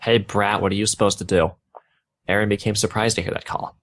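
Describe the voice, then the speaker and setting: A man's voice: fairly low pitch, level tone, fairly quick, fairly bright tone. A man reading fan fiction aloud, stumbling over odd words and breaking off with casual joking comments. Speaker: reader of fan fiction on a gaming video.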